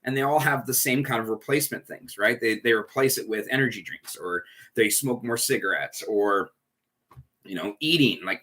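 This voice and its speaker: Man, stuffy nose, chatty and rambling, recovery group leader, bright tone. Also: medium pitch. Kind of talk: casual livestream talk. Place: at home indoors.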